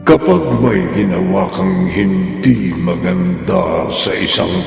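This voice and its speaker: A man hosting and narrating a horror radio drama in a slow, menacing, theatrical way. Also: low-pitched, vocal fry. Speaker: horror show host and narrator. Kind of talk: ominous scripted radio narration. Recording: heavy echo, long reverb, music behind. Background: eerie music.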